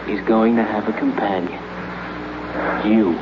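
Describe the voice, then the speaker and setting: An actor playing a cold, smug murderer in a 1950s radio drama, calm and sneering. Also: male voice, lowish voice, unhurried, lightly acted. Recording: dull, noisy old radio broadcast.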